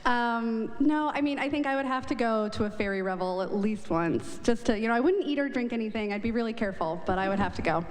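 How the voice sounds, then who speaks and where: A woman author answering on a live panel, hesitant, with many pauses and ums and some laughs. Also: a little high. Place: event hall. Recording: reverberant hall.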